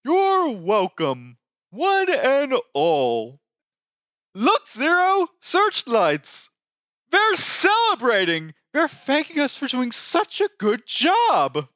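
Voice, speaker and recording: A performer voicing a lanky skeleton Pumpkin King from an animated musical, grandiose, excitable and melodramatic, with sweeping theatrical delivery. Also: high-pitched, dry recording, lo-fi sound, full character voice. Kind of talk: fan re-recording of animated musical film lines.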